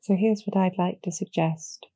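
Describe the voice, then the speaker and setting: A woman playing a calm, courteous female demon general in a roleplay audio, speaking softly with measured, gently formal persuasion and a husky, creaky edge. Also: lowish voice, dry recording, natural voice. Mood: calm, persuasive. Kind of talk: slow, soothing scripted roleplay audio for sleep.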